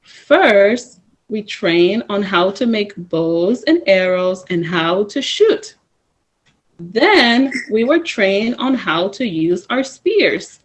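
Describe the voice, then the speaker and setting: A woman reading a first-person story aloud at story time, in a slow, measured way. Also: mid-range voice, big pitch swings. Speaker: storytime reader. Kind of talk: reading a picture book aloud.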